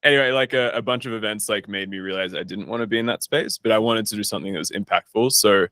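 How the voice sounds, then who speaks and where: A man's voice: fairly low pitch, fast talker, fairly bright tone, no echo. A man chatting casually as an interview guest, informal and self-deprecating, recorded in a studio. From Australia. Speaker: guest, startup founder and investor.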